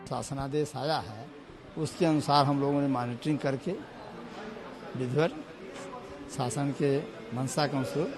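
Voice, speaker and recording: Formal male official over clear music. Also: medium pitch, a little slow, pauses often. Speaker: official giving statement. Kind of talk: formal spoken statement to news.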